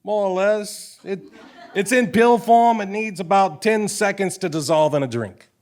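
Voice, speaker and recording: A man's voice: sits high, measured pace, darkish tone. A man playing a shady, folksy wheeler-dealer with sly, rambling patter in a comic stage audio play. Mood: conspiratorial. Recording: live theatre stage recording.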